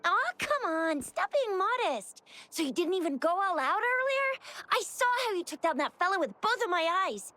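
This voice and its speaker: Very high, excitable cheeky girl fighter. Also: moderate pace, heavily acted. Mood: teasing, impressed. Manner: bubbly, exclaiming, folksy wording. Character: excitable, cheeky young woman companion fighter. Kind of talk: anime-style game voice acting. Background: game music.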